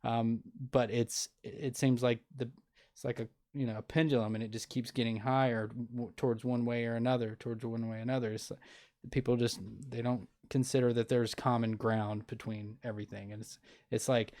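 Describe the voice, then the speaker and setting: A man's voice: mid-range voice, flat delivery, normal speed, darkish tone. A man hosting a casual interview show, talking earnestly with many restarts and fillers. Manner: earnest, opinionated. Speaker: podcast host.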